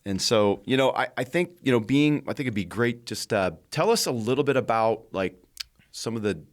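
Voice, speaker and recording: A middle-aged man hosting a casual podcast, rambling and halting with frequent restarts. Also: medium pitch, lively intonation. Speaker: podcast host.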